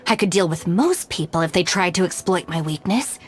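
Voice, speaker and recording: A woman's voice: lowish voice, lively intonation, a little fast, lightly acted. A woman playing a blunt, exasperated, no-nonsense young woman in a video game, with a dry way of talking. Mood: reluctant, blunt. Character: young woman gang deputy, no-nonsense, exasperated, reluctantly responsible. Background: music.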